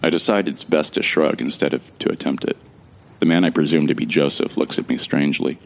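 A man's voice: rumbling low, fairly quick, gravelly. An actor playing a bewildered amnesiac man who wryly narrates his ordeal in the first person for a sci-fi audio drama. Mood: wry, musing. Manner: wry, musing.

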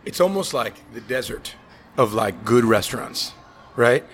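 An American man hosting a casual podcast chat in an animated, joking, freely cursing way. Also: mid-range voice, a little slow, a little hiss or hum. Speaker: podcast host.

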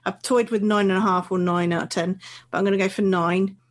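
A woman's voice: mid-range voice, steady tone, fairly quick. Chatty woman reviewing films. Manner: chatty, laughs easily, many restarts. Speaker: film review podcast co-host. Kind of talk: casual film review chat.

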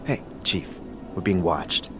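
A man's voice: low voice, animated, takes it slowly, music in the background. A man playing a touchy, wisecracking floating skull sidekick in an audio drama, with a snarky, stammering manner. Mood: casual, sheepish.

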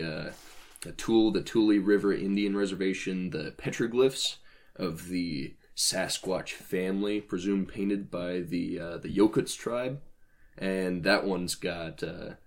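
A man co-hosting a casual podcast, talking about folklore in a halting, wry, dry-humoured way. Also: sits low. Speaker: podcast co-host.

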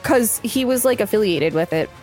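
A woman's voice: mid-range voice, animated, moderate pace, darkish tone. Lady with a medium voice reading an article on a podcast, chatty, some music underneath. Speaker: podcast co-host. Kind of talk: reading an article aloud with casual banter.

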